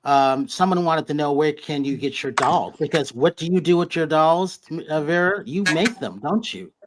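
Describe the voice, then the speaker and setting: Brief: male host, rambling and meandering, slightly higher voice. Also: lively intonation, normal speed, slight background noise. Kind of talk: casual interview.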